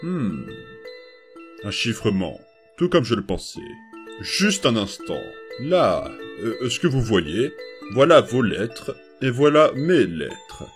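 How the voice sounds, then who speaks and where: A man voicing a patient adult male skeleton who looks after strange children, speaking softly and haltingly with lots of small pauses. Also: medium pitch, sing-song, soft background music. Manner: hesitant, soft-spoken, full of small pauses and fillers. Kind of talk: fan comic dub voice acting.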